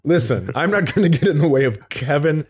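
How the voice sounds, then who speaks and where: A man's voice: a little high, animated, brisk, slightly rough. A man co-hosting a comedy podcast in casual banter, wry and teasing, often poking fun at himself. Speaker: podcast co-host.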